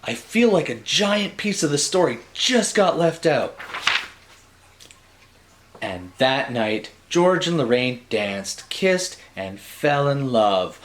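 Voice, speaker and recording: A man's voice: mid-range voice, very animated, slow, a little hiss or hum. A man narrating a story like a storybook, theatrical and dramatic, with wry asides.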